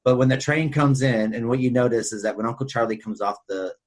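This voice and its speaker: A male podcast co-host in casual film discussion, with a middling pitch. He talks in a rambling, conversational way and often repeats himself as he makes a point. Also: fast.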